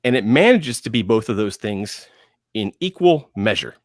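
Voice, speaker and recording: A man's voice: on the low side, very animated, moderate pace, dry recording. Emphatic man on a film panel, dry sound. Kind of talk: enthusiastic panel talk on films.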